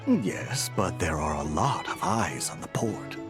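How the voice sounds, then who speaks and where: An actor playing a shady, defiant arms smuggler in a video game. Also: male voice, low voice, big pitch swings, measured pace, music in the background. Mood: guarded haggling.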